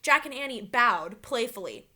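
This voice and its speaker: A woman reading a children's story aloud like a teacher, with dramatic, expressive storytelling. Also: fairly high pitch, normal speed.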